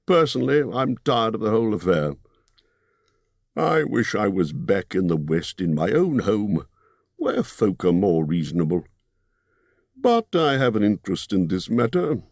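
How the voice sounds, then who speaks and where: A man voicing a fussy, polite male hobbit burglar who talks in a businesslike, slightly squeaky way. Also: sing-song, measured pace, no echo, exaggerated voice.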